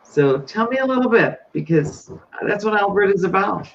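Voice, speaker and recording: A woman's voice: medium pitch, animated, normal speed, creaky. A woman hosting a live webinar interview, talking in an enthusiastic, effusive way. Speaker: webinar host. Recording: dull lo-fi webinar audio with faint noise.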